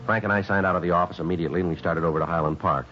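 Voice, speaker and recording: A man acts a businesslike American detective in a vintage radio police drama. The voice is deep and quick, with terse deadpan delivery, on a dull old broadcast recording. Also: over music, close to an everyday voice. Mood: flat, factual. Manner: deadpan, terse, matter-of-fact. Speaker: narrator and lead actor. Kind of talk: 1950s radio police drama acting, clipped scripted narration.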